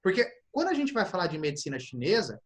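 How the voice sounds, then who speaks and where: A man from southern Brazil, a guest acupuncture professor explaining over a video call, animated as he acts out what patients say. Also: sits high, fast. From Paraná, Brazil. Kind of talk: explanatory teaching talk.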